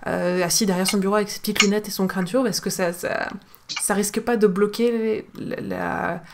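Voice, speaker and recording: A woman's voice: medium pitch, fairly even intonation, normal speed, vocal fry, slight background noise. Thoughtful young woman hosting a video call. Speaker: host. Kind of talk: casual interview on a video call. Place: room indoors. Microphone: video call, webcam.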